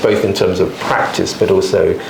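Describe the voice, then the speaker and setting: A man's voice: sits low, level tone, moderate pace, music in the background. A middle-aged man answering interview questions in a conversational, thoughtful way, hesitating and often repeating words. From born in Hong Kong, living in the UK before China. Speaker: interview guest, emergency doctor. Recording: roomy echo, clear background noise.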